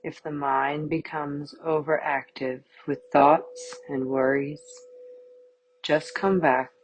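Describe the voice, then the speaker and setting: A woman guiding a yoga nidra meditation with calm, soothing, measured scripted instructions. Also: deep voice, low-quality recording.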